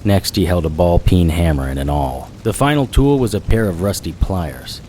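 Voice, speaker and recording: Deep-voiced hard-boiled actor's male private eye. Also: lively intonation, normal speed, dark tone, a little hiss or hum. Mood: grim narration. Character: hard-boiled male private investigator narrating, grimly vengeful. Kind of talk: first-person noir audio drama narration.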